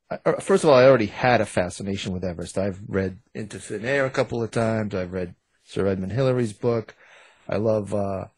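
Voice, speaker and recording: A man's voice: lowish voice, a little mellow, dry recording, poor audio. A man speaking as an author guest in an interview, explaining things in a casual, meandering, slightly hesitant way. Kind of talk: casual conversational storytelling.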